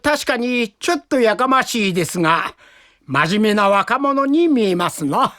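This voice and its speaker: A man's voice: high-pitched, animated, a little slow, pushed and bright, dry recording, clean studio sound, exaggerated voice. A man playing a credulous, easily flustered older judge in an audio drama, with formal, old-fashioned phrasing. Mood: mildly curious. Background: background music.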